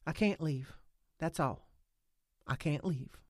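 A woman voicing an anxious, defiant rural woman in an audiobook, speaking haltingly and insistently in country dialect. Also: deep voice, no room sound, studio quality. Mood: stubborn, anxious. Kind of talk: audiobook character acting.

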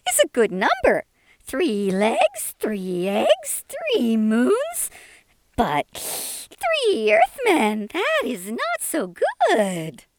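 Ancient female lizard alien, storybook character, imperious, scornful, chirping, wildly swooping pitch, extremely drawn-out words. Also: wildly swooping pitch, no room sound, studio quality, exaggerated voice. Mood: imperious, disapproving. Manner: chirping, slow drawn-out deliberate delivery.

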